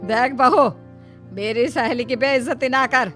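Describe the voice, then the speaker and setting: A woman voicing a jealous older mother-in-law in a cartoon story, with drawn-out, whiny complaining. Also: high-pitched, music in the background. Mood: scolding. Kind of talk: Hindi cartoon story voice acting.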